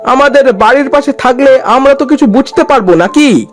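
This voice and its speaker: A steady village husband, as a woman puts him on speaks loudly in a cartoon and brushes off his friend's fears. His voice is fairly high for a man and even in tone. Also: moderate pace, soft background music. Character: calm, scoffing village husband.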